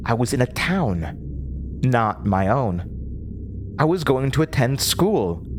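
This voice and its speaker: Man acting a slow, haunted horror storyteller. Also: medium pitch, very animated, noisy background. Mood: wistful, unsettled. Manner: halting, theatrical, with nervous laughter and drops into whispers. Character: disturbed, haunted storyteller recounting a horrifying childhood. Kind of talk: dramatic horror audio drama monologue. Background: prominent music.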